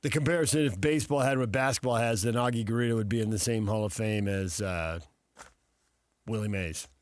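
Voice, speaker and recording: A man's voice: lowish voice, brisk, dry recording. A man co-hosting a sports radio show, trading casual banter about the news.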